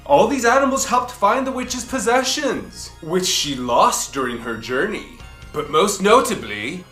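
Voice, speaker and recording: A woman hosting a children's book club, reading aloud expressively with theatrical, deliberate emphasis. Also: lowish voice, fairly bright tone. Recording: roomy echo, faint music behind.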